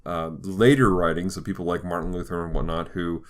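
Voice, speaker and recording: A man hosting a conversational podcast, talking through ideas in a thoughtful, halting way with frequent restarts. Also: low voice, fairly even intonation. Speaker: podcast host. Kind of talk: conversational podcast discussion of faith and pop culture.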